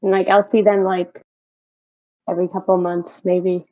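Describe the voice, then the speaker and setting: A woman's voice: lowish voice, little pitch movement, moderate pace, mellow. A young woman co-hosting a podcast in casual, conversational talk. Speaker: podcast co-host. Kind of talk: casual chat. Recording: dull lo-fi audio.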